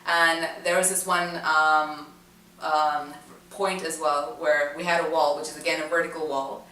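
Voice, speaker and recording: A young woman from Dubai with a low voice, telling her story in a loose, chatty way full of "um" and restarts. She sounds set back from the mic in an echoey room. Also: pushed and bright, distant mic, a little hiss or hum. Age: young adult. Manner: chatty, many fillers and restarts. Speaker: student interviewee. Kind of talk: casual recollection to camera.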